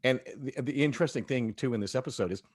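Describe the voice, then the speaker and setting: A man's voice: medium pitch, quick pace. A man hosting a casual panel discussion, talking with enthusiasm. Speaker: host of a cast talkback.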